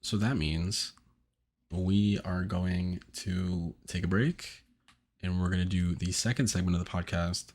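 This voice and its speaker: A man's voice: low-pitched, fairly even intonation, a little slow, darkish tone. A young man hosting a casual advice podcast, chatty and teasing, with lots of fillers. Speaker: podcast host.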